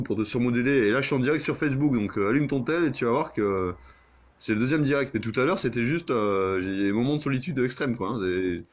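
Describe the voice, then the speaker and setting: A man's voice: mid-range voice, dull audio. A man chatting casually as an amateur radio operator, with crude, joking, sweary banter.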